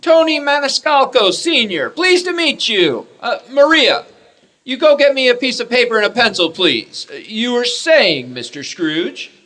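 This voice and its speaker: A man's voice: very high voice, sing-song, unhurried, sharp tone, heavily acted. An actor playing an outgoing, polite working man in a radio play, with an expansive, emphatic manner. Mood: eager, friendly.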